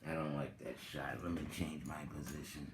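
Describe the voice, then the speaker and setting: A man giving a dramatic reading, theatrical and deliberate, with drawn-out phrases and long pauses. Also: very deep voice, fairly even intonation, a little hiss or hum. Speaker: reader and commentator, presenter of the recording. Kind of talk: dramatic reading with informal asides. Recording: roomy, echoing sound.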